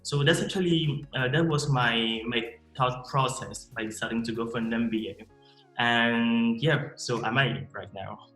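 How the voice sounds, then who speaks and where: A man, answering interview questions in a measured, explanatory way with frequent restarts. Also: medium pitch, a bit bright. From Cameroon. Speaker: MBA student guest. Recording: echoey room with faint music.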